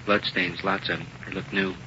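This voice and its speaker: An actor playing a brisk police officer phoning in a report in an old radio drama, flat and businesslike. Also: male voice, sits low, muffled recording. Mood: brisk, grave. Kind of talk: 1950s radio drama acting. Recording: thin, faintly noisy vintage radio recording.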